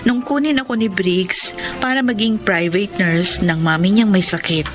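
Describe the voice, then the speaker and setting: An actress with a Filipino accent playing a devoted young nurse, earnest and respectful, in radio drama narration and dialogue. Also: female voice, medium pitch, normal speed, faint music behind, close to an everyday voice. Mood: earnest, narrating. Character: devoted, lovestruck young nurse telling her story.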